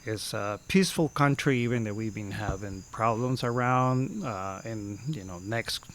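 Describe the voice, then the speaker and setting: A man in his fifties from Costa Rica with a Costa Rican Spanish accent, telling stories as a podcast guest and often pausing to search for words. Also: on the low side, fairly bright tone. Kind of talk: casual storytelling.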